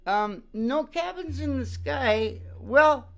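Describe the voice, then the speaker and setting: A man's voice: extremely high pitch, expressive, slow pace, gravelly. A man chatting casually and ramblingly between songs as host of a live music show, folksy and often chuckling.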